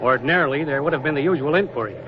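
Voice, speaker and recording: A brisk Navy lieutenant acted by a man, rather high in voice, earnest and deferential to his superiors. The 1940s radio drama recording is dull and quite noisy. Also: natural voice. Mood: earnest, explanatory. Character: brisk, dutiful young male Navy lieutenant, admiral's aide.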